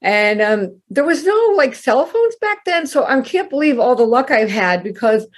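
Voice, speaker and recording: A woman in her fifties, scratchy from a night of karaoke, telling stories in animated casual chat and swearing freely. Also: high voice, normal speed. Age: 50s. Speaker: guest masseuse. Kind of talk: casual storytelling.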